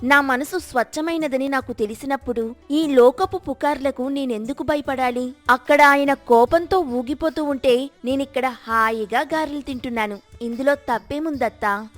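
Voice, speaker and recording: A high-pitched young village wife acted by a woman in a moral storybook tale. She is proud and sure of herself, speaking up firmly over background music. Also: moderate pace, natural voice. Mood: calm defiance. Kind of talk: storybook character dialogue.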